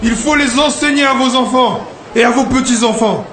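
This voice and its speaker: Un homme jouant un prophète solennel à voix très aiguë. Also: male voice, very high voice, moderate pace. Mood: grave, admonishing. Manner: declamatory, exhortative preaching with emphatic slogans. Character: solemn prophet and freedom leader addressing his people. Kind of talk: animated film oratory, prophetic speech. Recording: echoey, noisy sound with crowd behind.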